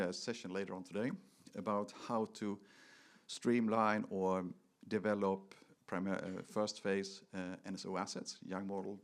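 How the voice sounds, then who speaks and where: A man giving a technical product presentation to an audience, speaking in a halting, emphatic way with slightly non-native phrasing. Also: on the low side. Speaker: conference presenter.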